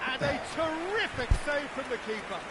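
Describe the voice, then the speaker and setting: A man's voice: very high-pitched, lively intonation, moderate pace. A man giving play-by-play football commentary for a video game, over match ambience. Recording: loud game and crowd noise behind. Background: football game stadium ambience, ball thuds.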